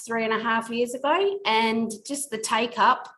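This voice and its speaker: A woman's voice: on the high side, measured pace, a bit bright. Earnest middle-aged woman reflecting. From Australia. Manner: reflective, earnest, speaks in long winding sentences. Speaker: podcast guest, workplace culture consultant. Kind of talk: casual interview talk.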